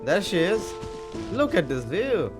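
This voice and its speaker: A man giving casual playthrough commentary, halting and rambling, with some mild swearing. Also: high voice, sing-song. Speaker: gaming commentator. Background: game music.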